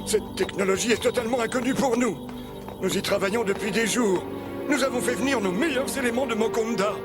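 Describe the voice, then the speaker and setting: An anxious, servile henchman from an old French-dubbed cartoon. The voice sits extremely high and pleads deferentially. Loud music runs underneath. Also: brisk. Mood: anxious, pleading. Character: anxious, deferential male underling reporting to his tyrant lord.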